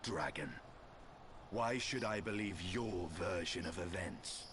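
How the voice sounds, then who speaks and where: A stern warrior from a fantasy game, with a low, deep voice. He is cold and sardonic, choosing formal words full of distrust. Noisy ambience sits clearly behind him. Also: slow. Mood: cold, distrustful. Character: stern, skeptical warrior challenging a dragon. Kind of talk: dramatic fantasy game acting.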